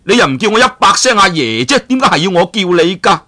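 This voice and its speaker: A man voicing a gentle, lovesick young male scholar who speaks plaintively in a told story. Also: high voice, sing-song, fast talker, pitched above the performer's own voice, full character voice. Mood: forlorn.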